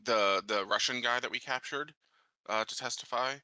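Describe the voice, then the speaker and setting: A man playing a tabletop game, improvising casually and haltingly as he searches for words. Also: medium pitch, pushed and bright, no echo.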